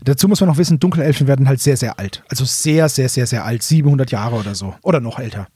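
A man's voice: medium pitch, fast talker, mellow, breathy edge, dry recording. A man co-hosting a podcast, explaining casually and repeating words for emphasis. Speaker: podcast co-host.

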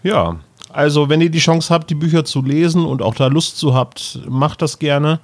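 A man's voice: on the high side, steady tone, moderate pace. A man talking casually as a podcast co-host, discussing and commenting.

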